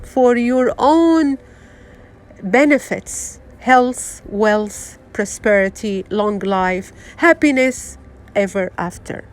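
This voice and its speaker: Slow, earnest woman with a Syrian accent. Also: a little high. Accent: Syrian Arabic. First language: Arabic. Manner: halting, many fillers and restarts, earnest. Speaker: wellness vlogger. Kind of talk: unscripted motivational talk to viewers.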